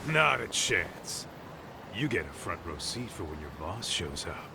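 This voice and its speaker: A man's voice: sits low, very animated, unhurried, vocal fry, noisy background, exaggerated voice. A man playing a cocky, brash tough guy in a video game cutscene. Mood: menacing. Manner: brash, swaggering. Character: cocky brawling tough guy, gruffly scornful. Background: music.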